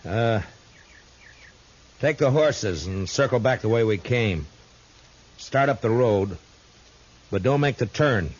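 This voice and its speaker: An actor playing a calm, stern, world-weary frontier marshal with a terse, dry delivery, in 1950s radio drama style. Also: male voice, mid-range voice, slow, pauses often. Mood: steady, commanding. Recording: scratchy, muffled 1950s radio recording.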